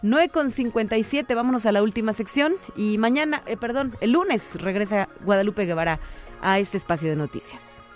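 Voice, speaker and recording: A woman's voice: mid-range voice, lively intonation, normal speed. A woman with a Mexican accent hosting a live radio programme, interviewing and making announcements. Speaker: radio host. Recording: music playing behind the voice. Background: music.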